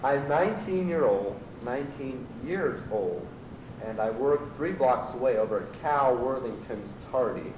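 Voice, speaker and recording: A man's voice: medium pitch, animated, a little slow, far from the mic, natural voice. Male actor, earnest teenage goat witness, rambling, radio spoof, echoey, noisy tape. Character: earnest, rambling nineteen-year-old male goat, rental clerk. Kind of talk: amateur old-time radio comedy acting.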